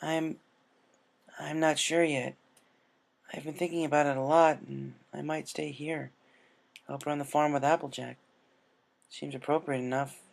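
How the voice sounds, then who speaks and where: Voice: mid-range voice, flat delivery, a little slow, vocal fry, long pauses, pitched above the performer's own voice. A narrator voicing an earnest, subdued young female pegasus pony who talks casually and hesitantly, in a story reading.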